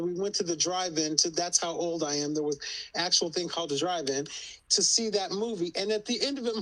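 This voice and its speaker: Bright-voiced woman in her sixties. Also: on the low side, low-quality recording. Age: 60s. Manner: earnest storytelling, urging others to speak up. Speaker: discussion participant. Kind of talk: group discussion.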